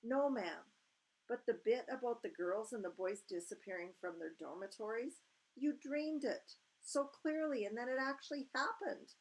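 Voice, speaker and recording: A woman's voice: fairly high pitch, normal speed, pitched above the performer's own voice, lightly acted, poor audio. A woman reading aloud and voicing an older palace maid who speaks in a deferential, quivering way. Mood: anxious.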